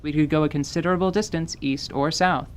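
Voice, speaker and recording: A performer voicing a frank, weary woman who leads travellers, speaking deliberately and with caution in an audio drama. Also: medium pitch, steady tone, a little hiss or hum, close to an everyday voice.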